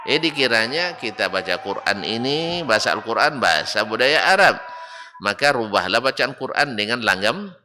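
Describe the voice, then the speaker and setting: A male Islamic preacher, with a lilt, giving a lecture and rising to loud, emphatic rebukes and mocking rhetorical questions. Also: medium pitch, very animated, moderate pace. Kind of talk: religious study lecture. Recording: reverberant hall with faint background noise.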